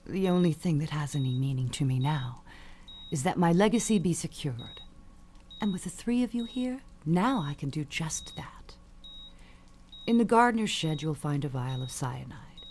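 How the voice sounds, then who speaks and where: A woman's voice: low-pitched, expressive, moderate pace, darkish tone, a little hiss or hum. An actress playing an elderly, dying perfume mogul, an imperious and cutting mother with genteel, falsely sweet manners. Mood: quietly scheming. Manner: genteel, commanding, condescending endearments. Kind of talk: audio suspense drama acting.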